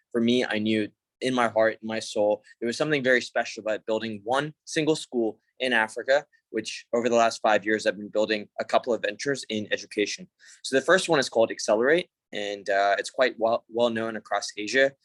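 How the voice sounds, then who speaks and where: A young man with a fairly low voice talks casually over a video call, the sound close and dry. He presents in an easygoing, informal way, full of fillers and restarts. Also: fairly bright tone, no echo, rough recording. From Hong Kong. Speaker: conference speaker.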